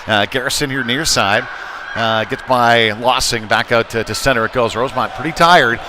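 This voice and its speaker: A man giving live ice hockey play-by-play in short clipped calls with hesitant pauses. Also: mid-range voice. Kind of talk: live sports commentary. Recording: clear background noise, live rink ambience. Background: ambient sports venue noise.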